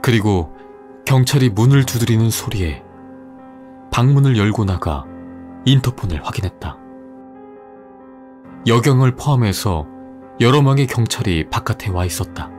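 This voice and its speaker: A man narrating a scripted horror story in a measured, dramatic way, dropping to a hush at tense moments. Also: mid-range voice, lively intonation, soft background music.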